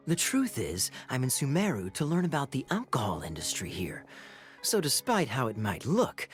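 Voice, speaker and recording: An actor playing a suave, sly male knight captain in a video game cutscene, teasing and smooth with playful chuckles. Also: mid-range voice.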